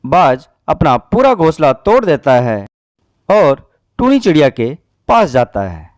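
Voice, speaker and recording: A man's voice: fairly high pitch, very animated, measured pace, no room sound, clean studio sound. A man narrating a scripted children's cartoon story.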